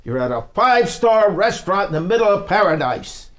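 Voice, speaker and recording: Man acting high-pitched, emphatic peacemaking mobster. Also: very animated, fairly quick, fairly bright tone. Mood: exasperated, placating. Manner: emphatic, placating. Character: Amadeo, peacemaking mobster, loudly placating. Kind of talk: script table reading.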